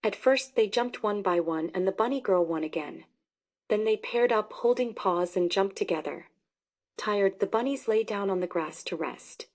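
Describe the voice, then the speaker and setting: A woman narrating a children's story about bunnies in a plain, even reading. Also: mid-range voice, moderate pace.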